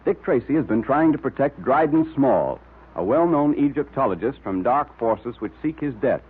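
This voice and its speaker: A man announcing in vintage radio style, emphatic and salesmanlike. His delivery is very animated, with a rough, husky edge. The recording is tinny and band-limited. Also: mid-range voice, moderate pace. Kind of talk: 1940s radio advertising and scripted narration.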